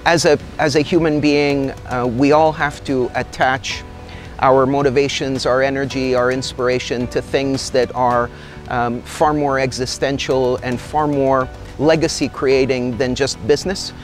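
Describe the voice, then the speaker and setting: A middle-aged man from Canada, a company executive, speaking in a measured and articulate way in a corporate interview outdoors in a garden. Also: mid-range voice, little pitch movement, faint music behind. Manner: measured, articulate, gestures while talking.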